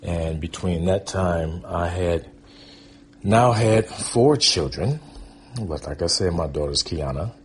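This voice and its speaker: An American man with a very low-pitched voice shares personal memories as a guest storyteller. He talks informally and wanders from one thought to the next. There is noticeable background noise on the recording. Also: lively intonation, slow pace. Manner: rambling, conversational. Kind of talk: casual autobiographical storytelling.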